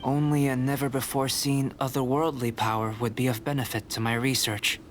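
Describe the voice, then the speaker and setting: A man voicing a calm, courteous alchemist researcher in a video game, speaking in a measured, formal, articulate way. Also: mid-range voice, slight background noise, lightly acted. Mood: intrigued, measured.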